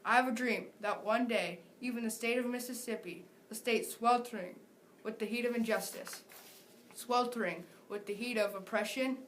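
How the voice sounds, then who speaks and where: Higher-voiced teenage girl reading a speech. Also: normal speed, fairly bright tone, poor audio. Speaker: student reader. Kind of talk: reading a famous speech aloud. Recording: faint background noise.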